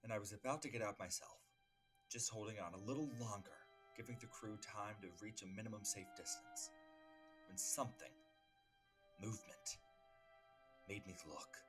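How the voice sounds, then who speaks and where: A man acts out a solemn superhero from a comic-book dub, his voice low and measured over prominent music. He speaks formally and gravely, calm yet weighed down by grief. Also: lightly acted. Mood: grave, haunted.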